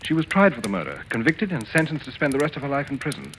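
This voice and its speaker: A police detective sergeant in a vintage radio thriller. He is polite but suspicious, halting deliberately as he insinuates. His pitch moves in a lively way over a faintly noisy old recording. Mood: suspicious, accusing. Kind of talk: old-time radio drama acting.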